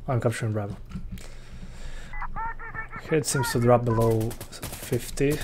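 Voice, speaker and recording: Young dude streaming a shooter, chill chatty, reads chat, gunshots faint behind. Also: male voice, mid-range voice, unhurried, noisy background. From Uruguay. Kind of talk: live gameplay commentary.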